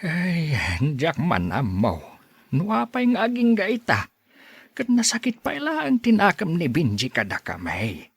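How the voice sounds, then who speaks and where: Husky, slow old Ilocano grandfather. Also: high-pitched, huge pitch swings, dry recording, heavily acted. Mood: plaintive. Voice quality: husky, creaky. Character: frail, weary old grandfather in hospital, gently complaining. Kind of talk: Ilocano radio drama acting.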